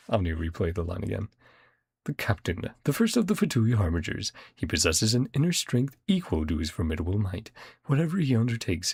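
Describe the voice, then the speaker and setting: A man's voice: on the low side, creaky, darkish tone, breathy edge, dry recording, studio quality. A man streaming a game, commenting casually and reading game text aloud. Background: faint game music.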